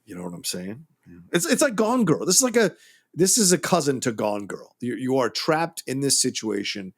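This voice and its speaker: A man co-hosting a casual film podcast, animated and emphatic, laughing along as he talks. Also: mid-range voice, moderate pace, husky. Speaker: podcast co-host.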